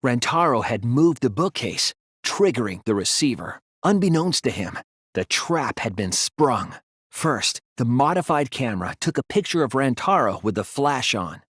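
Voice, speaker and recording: A man voicing an earnest, self-doubting teenage boy detective in a dramatic video game, speaking in a deliberate, explanatory way. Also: medium pitch, big pitch swings, fairly bright tone, breathy edge, no room sound, clean studio sound. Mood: measured, grave. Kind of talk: dramatic English video game voice acting, deductive monologue.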